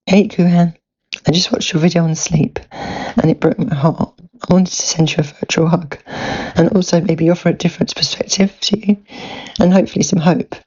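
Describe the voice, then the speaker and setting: A woman in her fifties talks in a croaky, husky voice with a gravelly edge. Her tone is mellow and gentle as she records a sincere message. The sound is dull and lo-fi, like a phone recording. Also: fairly low pitch, steady tone, moderate pace. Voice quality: croaky, breathy voice. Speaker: listener sending a voice message.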